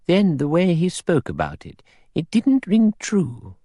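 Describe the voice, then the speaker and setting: A man's voice: fairly high pitch, wildly swooping pitch, a little slow, warm tone, dry recording, pitched above the performer's own voice, full character voice. A man voicing a pious, severe elderly spinster in an audiobook, dry, precise and disapproving. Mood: dry certainty. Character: prim, pious, severe elderly spinster, coldly self-righteous.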